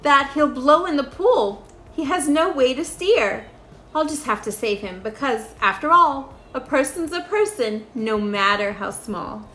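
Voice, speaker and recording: A woman's voice: sits high, lively intonation, unhurried, bright, forceful tone, reverberant, slight background noise, full character voice. A woman voicing an earnest, kindly male elephant from a storybook, speaking in an emphatic, pleading way. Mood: earnest, curious.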